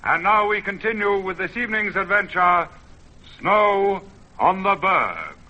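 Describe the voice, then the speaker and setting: A man announcing and narrating a scripted vintage radio programme in a formal, measured, poetic way. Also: sits high, expressive. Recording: dull vintage radio recording, music behind. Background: music.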